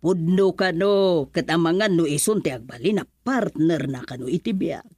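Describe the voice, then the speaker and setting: A woman voicing a caring older aunt in an Ilocano radio drama, with an Ilocano Filipino accent and expressive interjections. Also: on the low side, normal speed, husky. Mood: earnest, advising. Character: caring older aunt, advising her relatives.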